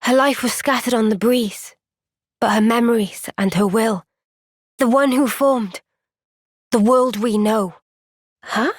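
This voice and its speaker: Earnest young warrior heroine, unhurried and high. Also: female voice, no room sound, clean studio sound. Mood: conversational. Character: young woman warrior, earnest, thoughtful, caring game heroine. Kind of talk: video game cutscene voice acting.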